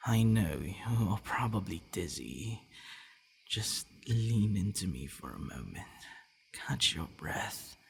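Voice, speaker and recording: Gravelly, low-voiced gentle vampire boyfriend, acted by a man. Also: animated, heavily acted. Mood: tender. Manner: halting, soft-spoken, often dropping to breathy murmurs. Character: gentle, devoted, bashful male vampire boyfriend. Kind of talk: ASMR boyfriend roleplay, intimate acting.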